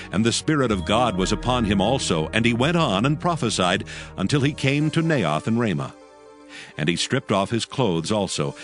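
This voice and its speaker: A man narrating a dramatized scripture reading in a solemn, measured storytelling manner. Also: fairly low pitch.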